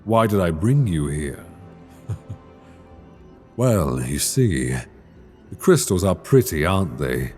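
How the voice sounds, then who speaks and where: A man playing an ancient, proud and regretful dragon king, telling his story in a husky, brooding, theatrical way for an ASMR dark fantasy roleplay. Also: deep voice, big pitch swings, slow, long pauses, soft background music, exaggerated voice. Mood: wry, tender. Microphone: close binaural microphone.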